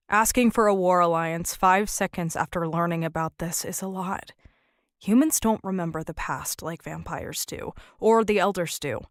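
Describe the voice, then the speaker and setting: Woman playing human negotiator, principled, matter-of-fact, lowish voice, dry studio sound, fiction podcast. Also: no echo, natural voice. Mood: guarded. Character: frank, guarded human negotiator, principled and wry. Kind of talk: audio drama acting.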